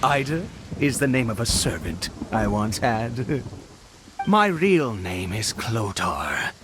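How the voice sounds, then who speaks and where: Slow, theatrical fanatical nobleman. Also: fairly low pitch, very animated. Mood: fervent, exultant. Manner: theatrical, brooding, swinging from hushed to exultant. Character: fanatical aging nobleman obsessed with the Abyss. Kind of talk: dramatic video game acting. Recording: storm and rain noise behind.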